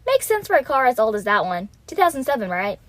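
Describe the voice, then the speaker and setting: A woman's voice: a little high, sing-song, fast talker, no echo. A woman playing an eager, chatty and earnest young student who loves cars, in a detective radio drama. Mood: eager, friendly.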